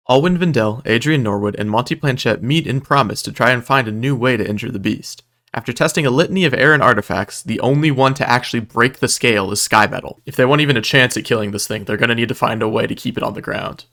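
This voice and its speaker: A man's voice: mid-range voice, a bit bright. A man reading scripted recap narration in a storytelling style, with dramatic delivery and wry asides. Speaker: video narrator recapping a roleplay game event.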